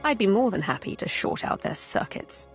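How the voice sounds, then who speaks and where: A woman's voice: mid-range voice, very animated, normal speed, soft background music, natural voice. A woman playing a cool, ruthless Imperial warrior in a video game, with dry, self-assured, sardonic delivery. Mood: wry, menacing. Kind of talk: scripted game cutscene dialogue.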